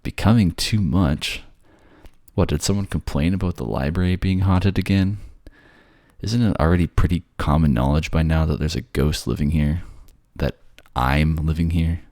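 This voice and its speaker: A man's voice: extremely low pitch, normal speed, darkish tone, dry recording, clean studio sound. A man playing a bored, sardonic ghost who haunts a library, snarky and conversational in an ASMR audio roleplay. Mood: defensive, teasing. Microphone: close, dry microphone.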